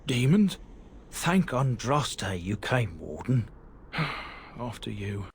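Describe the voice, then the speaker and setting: A chatty merchant guide in a fantasy game. His mid-range voice is very animated and informal, with an uneasy edge, over faint background noise. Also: medium pitch, slow, vocal fry, exaggerated voice. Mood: nervous. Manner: garrulous, informal. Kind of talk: fantasy video game dialogue.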